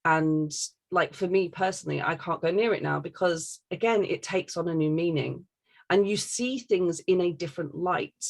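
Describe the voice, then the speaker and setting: A woman's voice: fairly low pitch, moderate pace, gravelly. A woman talking casually and candidly, giving her opinions emphatically and swearing freely.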